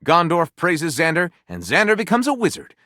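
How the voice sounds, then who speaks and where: A man's voice: on the high side, huge pitch swings, normal speed, bright, forceful tone, no room sound, studio quality, exaggerated voice. A man voicing a grandiose, lewd, self-satisfied storyteller character in a video game, with wry, sarcastic asides. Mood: dramatic narrating.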